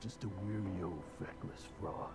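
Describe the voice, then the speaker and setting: An actor playing a weary, guilt-ridden old adventurer in a video game, with gravelly, halting, sorrowful delivery. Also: male voice, sits low. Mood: regretful, sorrowful. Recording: loud music over the voice.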